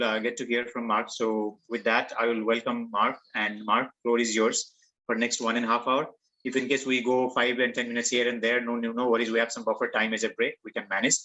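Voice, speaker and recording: A man hosting an online workshop, introducing the guest speaker in a quick, informal way. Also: mid-range voice, bright, forceful tone, low-quality recording. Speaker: workshop host. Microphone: video call.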